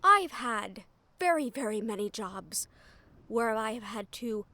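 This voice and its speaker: A woman playing a wry, foul-mouthed shadow thief in a fantasy audio roleplay, nervous but frank, with dramatic pauses and sighs. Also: a little high, lively intonation, bright, forceful tone, no echo. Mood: nervous, annoyed.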